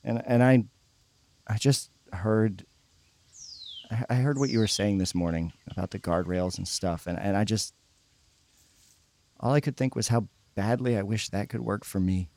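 A man's voice: on the low side, normal speed, pauses often, darkish tone, close to an everyday voice. An actor playing a troubled, guilt-ridden young churchgoing man, speaking hesitantly and stammering in a naturalistic audio drama. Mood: anguished, confessing.

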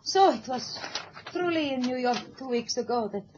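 A woman's voice: high-pitched, expressive, moderate pace, darkish tone, a little hiss or hum. An actress playing a nervous, apologetic woman captive who speaks formally and hesitantly in a 1960s radio drama. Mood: anxious. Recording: dull old radio broadcast.

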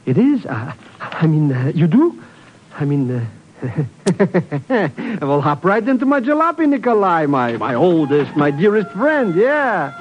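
An excitable, greedy sidekick in an old radio drama, blustering and stammering. His high voice swoops up and down through a dull, slightly hissy vintage recording. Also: slow. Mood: flustered, eager. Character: excitable, greedy comic sidekick cab driver. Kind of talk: 1950s radio drama acting.

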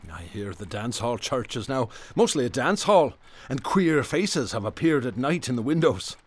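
An actor playing a haunted, bitter, obsessive police detective in a radio drama, with brooding, florid, theatrical speech. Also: male voice, medium pitch, big pitch swings, normal speed.

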